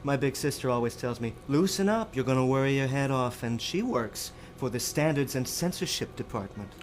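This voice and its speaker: A man's voice: mid-range voice, lively intonation, natural voice. Sardonic rebel, played by a man, noisy background. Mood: wry, resigned. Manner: sarcastic, taunting, deliberate. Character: sardonic, righteous male rebel power station operator. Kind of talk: audio drama acting.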